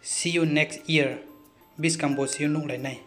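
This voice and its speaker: A man with a Kaubru-accented English voice, calmly reading English phrases and their translations, one by one, for a language lesson. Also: a little high. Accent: Kaubru (Bru) accented English. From Northeast India. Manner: slow, deliberate phrase-by-phrase reading. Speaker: channel host teaching phrases. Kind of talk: scripted vocabulary lesson. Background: background music.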